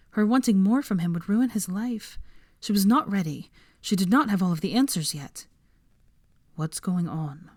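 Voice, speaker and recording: A woman's voice: fairly low pitch, a little mellow, no echo, studio quality. A woman narrating a story as an audiobook reader, from a script.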